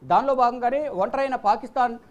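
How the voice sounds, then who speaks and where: A woman presenting unscripted news commentary, repeating phrases and pausing on fillers as she goes. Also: on the high side, a little mellow, muffled recording.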